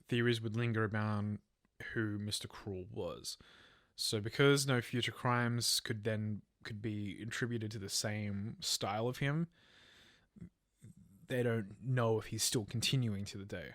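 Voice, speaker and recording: A man's voice: lowish voice, a bit bright, dry recording, studio quality. A man co-hosting a true crime podcast, telling a case from notes in casual language, stumbling and correcting himself. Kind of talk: semi-scripted case narration with casual chat.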